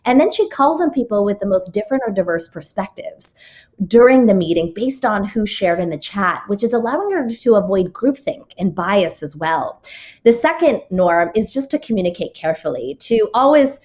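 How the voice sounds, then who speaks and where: A woman author speaks as a podcast guest. She is methodical and names each principle before illustrating it with a story. Her pitch sits in the middle range and her phrasing is clear, with occasional restarts. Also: lively intonation, normal speed, a little mellow. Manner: structured, explains with examples and numbered rules. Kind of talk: podcast interview answers.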